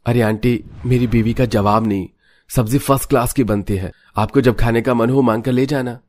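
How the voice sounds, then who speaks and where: A man's voice: mid-range voice, fairly even intonation, moderate pace, a little mellow, natural voice. A man voicing a hungry, meddling young man of the household in a cartoon family drama, casual and nagging. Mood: boastful praise.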